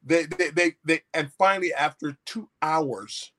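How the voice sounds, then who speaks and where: A man's voice: on the high side, a little slow, bright, forceful tone. A man, an author guest, telling a personal story in a folksy, anecdotal way with many restarts. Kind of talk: storytelling in an interview.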